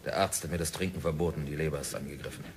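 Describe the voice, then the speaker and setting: A stubborn curt prospector. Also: male voice, rumbling low, fairly even intonation, very fast, a little hiss or hum. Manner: curt, defiant. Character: stubborn indebted Canadian prospector, middle-aged man. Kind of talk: 1960s radio drama acting.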